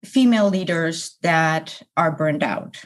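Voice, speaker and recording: A middle-aged woman from the Netherlands, a coaching guest, speaking in a measured, somewhat hesitant way. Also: sits low.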